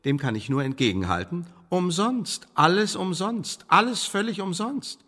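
An actor in a German radio drama playing a smooth, menacing Elizabethan English secret service chief, speaking in pompous, formal officialese with veiled threats. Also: male voice, sits high, animated, moderate pace, pushed and bright, exaggerated voice. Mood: veiled menace. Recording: studio radio play with long reverb.

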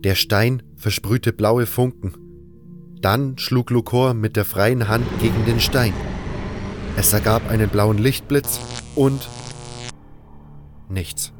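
A man's voice: medium pitch, steady tone, slow, pauses often, over music. A man reading scripted first-person narration for a fantasy audio drama.

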